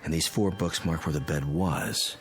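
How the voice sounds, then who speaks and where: Deep-voiced, methodical scientist. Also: male voice, soft background music. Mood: focused, careful. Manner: measured, instructing. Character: calm, methodical male physicist friend investigating a mystery. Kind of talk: radio drama acting.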